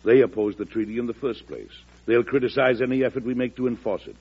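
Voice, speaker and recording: An actor with an American accent playing a folksy, firm president in a 1940s radio drama, speaking in a conversational, deliberate way. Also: male voice, mid-range voice, slightly rough. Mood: measured, concerned. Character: folksy, firm American president telling his own story. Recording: muffled vintage radio recording.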